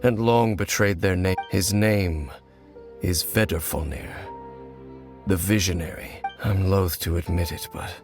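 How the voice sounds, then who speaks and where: An actor playing a brooding, ancient cursed knight in a video game, speaking gravely, formally and with measured, bitter solemnity. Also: male voice, low voice. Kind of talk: scripted video game dialogue. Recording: faint music behind.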